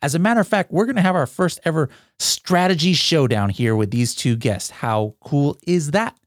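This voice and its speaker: A man's voice: on the high side, lively intonation, moderate pace. A man hosting a podcast, chatting casually and joking with guests, with lots of fillers and restarts. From United States. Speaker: podcast host. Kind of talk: casual interview chat.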